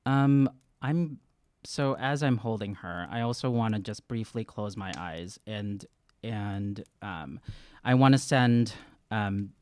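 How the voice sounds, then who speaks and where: A man in his thirties role-playing earnestly in a tabletop game, halting and casual, close on a studio microphone. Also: lowish voice, darkish tone, clean tone, dry recording. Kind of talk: improvised in-character role-play and casual description. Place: small room, indoors. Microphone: close studio microphone on stand.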